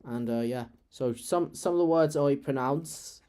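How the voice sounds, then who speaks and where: A man's voice: mid-range voice, unhurried, mellow, clear, resonant tone. A man from England whose English accent has Irish touches. He chats casually as a streamer while playing a game, rambling with many fillers and restarts. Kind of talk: casual chat while gaming.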